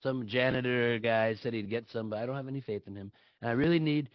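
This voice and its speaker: A man's voice: medium pitch, fast talker, dry recording, dull audio, lightly acted. A man at a live table read playing a self-important, blustering politician who is brusque, boastful and profane. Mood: smug, boastful.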